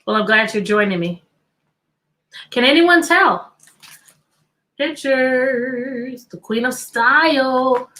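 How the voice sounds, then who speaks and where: A woman's voice: high voice, takes it slowly, long pauses, pushed and bright. A woman teacher hosting an interactive children's read-aloud, telling the story expressively and putting repeated questions to her listeners.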